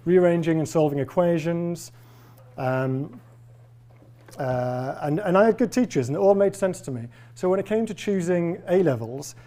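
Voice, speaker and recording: Man in his forties, faint Welsh accent, slightly high and warm-toned; relaxed, wry lecturer. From Wales. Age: 40s. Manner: conversational, wry and self-deprecating. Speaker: professor giving a lecture. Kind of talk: public lecture. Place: lecture hall with a live audience.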